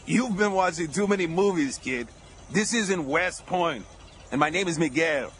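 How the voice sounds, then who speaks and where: A man's voice: on the high side, expressive, normal speed, fairly bright tone, slight background noise. A man playing a brusque, no-nonsense jail guard in an audio drama, curt and bossy. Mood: gruff, dismissive. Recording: prominent music behind.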